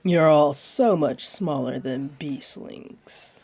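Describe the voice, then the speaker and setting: Woman acting a slow, mellow, flirty jaguar woman. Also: slightly rough, heavily acted. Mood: flirty, tender. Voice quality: deep, mellow voice. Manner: slow, teasing, soothing. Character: flirty, comforting female jaguar beastling restaurant owner. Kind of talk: roleplay audio acting.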